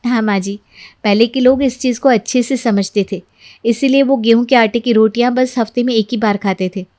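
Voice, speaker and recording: A woman storyteller voicing a gentle new daughter-in-law, polite, soft-spoken and earnest about healthy living. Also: a little high, steady tone, brisk, natural voice. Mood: earnest, instructive.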